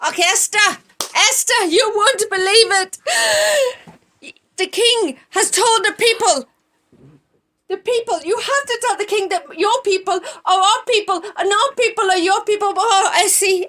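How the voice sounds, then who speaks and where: Lady putting on a frantic high-pitched guy, goofy made-up accent, all over the place. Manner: put-on wobbly mixed accent, breathless and gushing. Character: fussy excited male cousin, court guard. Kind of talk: church skit acting.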